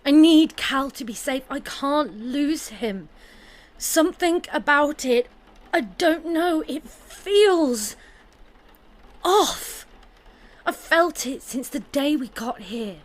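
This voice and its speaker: A woman voicing an uneasy young mother in an audio drama, her voice high and slow. She talks in a hushed, pleading way, anxious throughout. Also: expressive.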